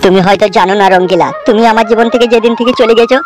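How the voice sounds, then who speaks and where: A man's voice: sits high, rapid-fire, natural voice. A man voicing a lovesick, jilted young village lover in a melodramatic cartoon, pleading dramatically. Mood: heartbroken. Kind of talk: melodramatic comedy cartoon dubbing. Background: prominent music.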